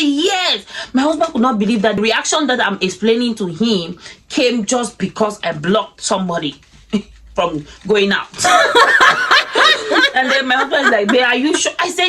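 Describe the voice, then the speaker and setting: Animated Cameroonian woman telling a story. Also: high voice. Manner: animated, laughs often, acts out quoted speech. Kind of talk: casual storytime chat.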